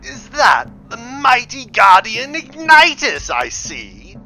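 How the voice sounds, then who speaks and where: A man voicing a tyrannical ancient dark dragon lord with a booming, theatrical menace. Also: wildly swooping pitch, slow, faint music behind, heavily acted. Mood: menacing.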